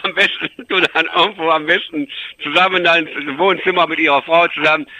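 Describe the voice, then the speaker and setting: Very bright, sharp-voiced man on the phone. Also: a little high, steady tone, fairly quick, low-quality recording. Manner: dismissive. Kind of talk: unscripted phone conversation.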